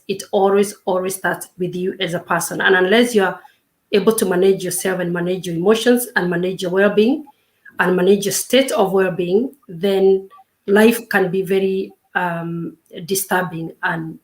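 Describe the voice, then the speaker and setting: A woman in her fifties, a coach, speaking as an interview guest in a measured, reflective way with frequent pauses and restarts. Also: lowish voice, fairly even intonation. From Kenya. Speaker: guest, self-discovery coach. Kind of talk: casual interview chat.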